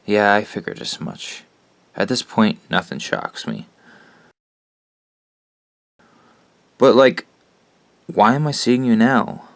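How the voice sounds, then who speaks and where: An actor playing a troubled, earnest teenage boy in an audio drama, speaking haltingly. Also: fairly low pitch, expressive, vocal fry, dry recording. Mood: bewildered.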